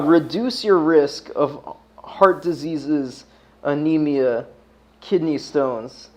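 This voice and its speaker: Higher-voiced American man, slangy livestream host. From United States. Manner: slangy, swears freely. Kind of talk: casual livestream chat.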